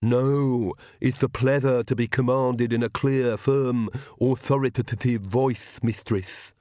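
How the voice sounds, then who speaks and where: A grumbling old manservant, as a narrator puts him on, drawn-out and muttering, with a throaty tone unlike the narrator's own. He complains in a slow, self-pitying drone. The sound is dry and close. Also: mid-range voice, fairly even intonation, warm tone, no room sound, lightly acted. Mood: proud. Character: grumbling hunchbacked old male servant.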